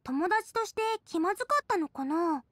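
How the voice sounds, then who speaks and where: A woman's voice: extremely high pitch, animated, normal speed, no echo, clean studio sound. A woman voicing a cheerful, sincere teenage girl cook in an anime game, casual and frank in manner. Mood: reassuring, frank. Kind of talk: Japanese anime game voice acting. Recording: faint music behind game dialogue.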